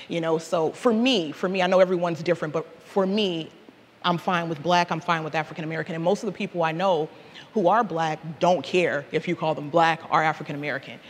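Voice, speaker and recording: High-voiced man in an echoing, noisy hall. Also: steady tone, brisk. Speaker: panel guest from a church. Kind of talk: casual conversational opinion. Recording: reverberant hall with clear background noise.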